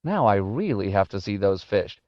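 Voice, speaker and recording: A man's voice: fairly low pitch, sing-song, moderate pace, darkish tone, no room sound, muffled recording. A man voicing an earnest, curious, tender-hearted young boy in an audiobook. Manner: earnest, plain-spoken. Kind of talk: audiobook character voice.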